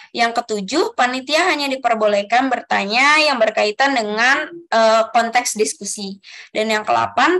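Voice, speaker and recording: A young woman leading an online group discussion as facilitator, politely reading out rules one by one with soft fillers over a video call. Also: fairly high pitch. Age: young adult.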